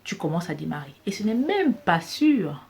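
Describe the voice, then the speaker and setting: A woman's voice: lowish voice, sing-song, moderate pace, vocal fry, darkish tone. A woman with a Cameroonian French accent, giving an emphatic motivational business talk that repeats key phrases for stress. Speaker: business coach vlogger.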